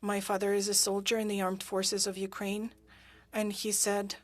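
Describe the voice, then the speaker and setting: A woman interpreting witness testimony at a hearing into English, halting and pausing often with fillers. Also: medium pitch, completely flat delivery. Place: interpreter booth at a parliamentary hearing.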